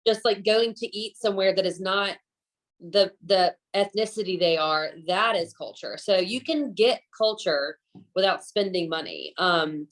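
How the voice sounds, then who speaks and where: A woman with a Southern US accent, chatting casually as an interview guest, talkative and emphatic about her views. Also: fairly low pitch. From Alabama, southern US. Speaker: guest, chef. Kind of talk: casual podcast conversation.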